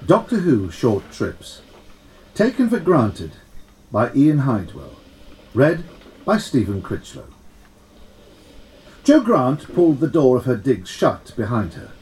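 A measured, wry storyteller narrates a science fiction adventure. The phrasing is deliberate and dramatic, and the pitch moves in a lively, expressive way across a medium register. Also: male voice, low-quality recording. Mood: measured storytelling. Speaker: audiobook reader.

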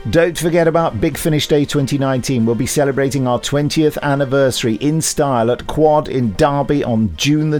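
A man co-hosts a podcast, moving between read announcements and easy banter. His voice sits at a middle pitch. Faint music plays softly beneath him. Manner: chatty, laughing with co-host. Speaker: podcast co-host. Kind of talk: casual chat and reading announcements.

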